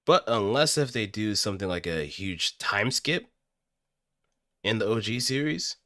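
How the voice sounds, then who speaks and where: A man chatting casually on a livestream, musing in a halting way as he thinks aloud. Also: fairly low pitch, animated, pushed and bright, dry recording, studio quality. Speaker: streamer practicing voice acting.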